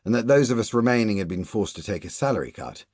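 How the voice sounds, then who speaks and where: A man reading a literary novel aloud as a first-person audiobook narrator, measured and even, dropping to quiet asides in the dialogue. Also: lowish voice, husky, no room sound, studio quality.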